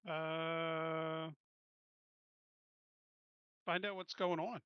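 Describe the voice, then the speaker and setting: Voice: medium pitch, level tone, drawn-out words, clean tone, dry recording. A tabletop game player talking casually and asking questions about the next move. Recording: dry, dull audio.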